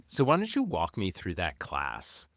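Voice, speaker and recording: A man hosting a career interview, asking relaxed, probing follow-up questions in a conversational way. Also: low voice, measured pace, no room sound, clean studio sound.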